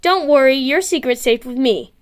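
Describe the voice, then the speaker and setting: A woman voicing a soft, polite boy with a sly streak in a story reading, gentle and coaxing. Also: sits high, animated, normal speed. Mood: pleased.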